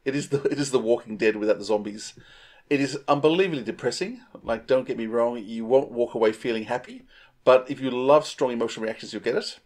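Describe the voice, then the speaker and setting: Enthusiastic middle-aged man, mid-pitched. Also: fast talker, a little mellow. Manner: enthusiastic, emphatic. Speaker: podcast co-host. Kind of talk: casual chat, enthusiastic reviewing. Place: indoors on a video call. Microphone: earphones on a video call.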